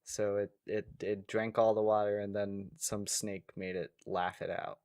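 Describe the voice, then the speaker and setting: A man's voice: lowish voice, flat delivery, unhurried, darkish tone, dry recording, studio quality. A man co-hosting a comedic podcast, chatting casually and easily amused. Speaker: podcast co-host.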